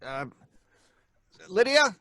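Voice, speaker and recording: A stern, exasperated father in a radio drama. His voice is high and lively in pitch. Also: very slow, no room sound, heavily acted. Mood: harried. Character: George, stern, harried father.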